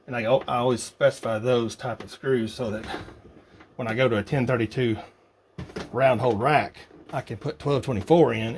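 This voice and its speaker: Man, medium pitch, casual how-to narration, muttered thinking aloud, noticeable workshop noise. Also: darkish tone. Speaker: hobbyist radio builder. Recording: noisy workshop recording with clicks.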